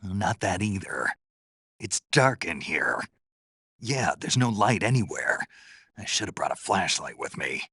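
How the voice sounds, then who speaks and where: A man playing a gruff, terse American soldier on a covert mission in a video game, with a low, gravelly, husky voice. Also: big pitch swings, sharp tone, no room sound, heavily acted. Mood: businesslike. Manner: terse, deliberate, dry. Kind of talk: dramatic game voice acting.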